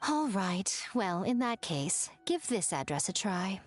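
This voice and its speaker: A woman's voice: medium pitch, big pitch swings, measured pace, soft background music. A woman voicing a sly, teasing, elegant shrine lady in a video game, playful and poised in her delivery. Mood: wry. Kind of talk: animated video game voice acting.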